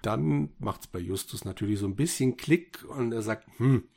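A man's voice: mid-range voice, normal speed, creaky, dark tone, husky. A man co-hosting a podcast, giving a casual, conversational recap and review. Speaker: podcast co-host.

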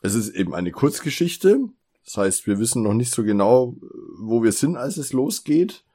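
A man's voice: medium pitch, expressive, moderate pace, mellow, husky. A man co-hosting a podcast in casual, teasing conversation, often chuckling. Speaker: podcast co-host.

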